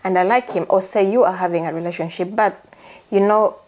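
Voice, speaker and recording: A woman's voice: mid-range voice, fairly quick, a little mellow. A woman hosting a conversational interview. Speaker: host.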